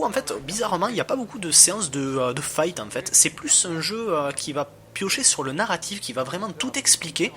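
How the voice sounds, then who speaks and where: A man's voice: on the high side, sharp tone. A man giving casual gameplay commentary as a reviewer, talking in a slangy, hesitant, rambling way. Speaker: video game reviewer.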